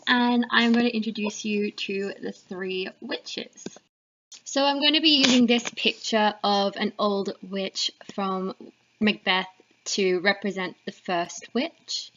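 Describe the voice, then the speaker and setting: A woman's voice: medium pitch, a bit bright. A woman giving an explanatory lesson voice-over to students as a literature teacher. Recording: slightly dull, lo-fi recording.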